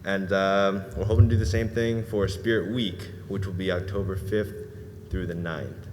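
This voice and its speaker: A teenage boy with a very low voice gives a loose, easygoing report at a school board meeting. He leans on "um" and "like". His voice rings with long reverb in the room, and a clear noise bed sits behind it. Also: normal speed. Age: late teens. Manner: relaxed and easygoing, laughs a little, "um" and "like" fillers. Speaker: student association board member.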